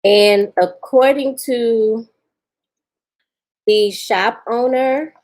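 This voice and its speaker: A woman's voice: fairly high pitch, drawn-out words, a little mellow. A woman, chatting casually with viewers on a live stream, laughing often and talking back to them. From Houston, Texas. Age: 40s. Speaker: vlogger answering live chat.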